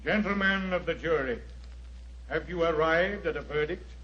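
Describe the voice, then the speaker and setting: An actor playing a formal, stern court official in 1940s radio drama style. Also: male voice, on the high side, normal speed, sharp tone. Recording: muffled, noisy old radio recording.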